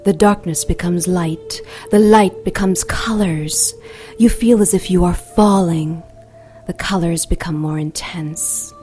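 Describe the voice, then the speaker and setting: A woman performs an imperious demon goddess in an audio drama. Her voice is on the low side and very unhurried, coldly seductive and commanding. Faint music plays underneath. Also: warm tone, lightly acted. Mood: hypnotic, breathy. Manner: commanding, deliberate.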